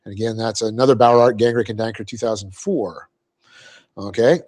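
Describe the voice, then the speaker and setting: A man teaching a Bible class, explaining Greek grammar step by step, spelling out words and clearing his throat often. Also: on the low side, fairly even intonation, normal speed, darkish tone. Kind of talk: verse-by-verse Bible class lecture.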